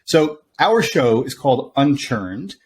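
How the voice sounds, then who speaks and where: A man's voice: medium pitch, sing-song, slow pace, husky. A middle-aged man hosting an interview podcast, opening the show and asking questions in an upbeat, enthusiastic way. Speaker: podcast host and company CEO.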